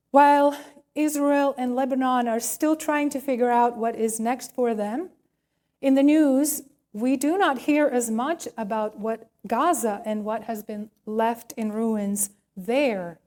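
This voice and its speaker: A woman minister delivers a sermon in a fairly high voice. She speaks slowly, with deliberate emphasis, moving between storytelling and reflective questions. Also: darkish tone. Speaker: minister preaching.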